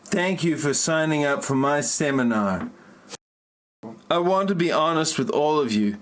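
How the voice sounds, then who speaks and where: A man's voice: fairly high pitch, animated, measured pace. A man playing an earnest, self-important novelist and hacker in an amateur parody audio play. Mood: solemn announcing.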